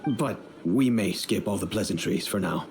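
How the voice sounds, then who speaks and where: An actor playing a grave middle-aged father and head of a noble family, speaking in a measured, solemn, storytelling way over game music. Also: deep voice, vocal fry, a little mellow.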